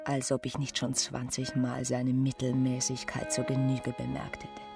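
An actress playing a passionate, discontented young wife in a radio play, with intense dramatic delivery. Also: female voice, extremely low pitch, monotone, normal speed, vocal fry, music in the background. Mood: bitter contempt.

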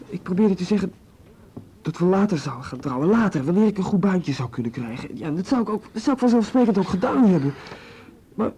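A shaken young student. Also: male voice, high-pitched, sing-song, mellow, breathy edge, full character voice. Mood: anxious. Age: young adult. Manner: halting, stammering confession. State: tearful. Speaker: student questioned by police. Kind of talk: radio play acting.

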